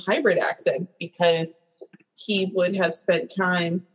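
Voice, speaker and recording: Husky-voiced woman chatting casually. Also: lowish voice, rough recording. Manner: chatty, laughs often, asides to the screen. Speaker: podcast co-host. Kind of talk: casual movie-watching commentary.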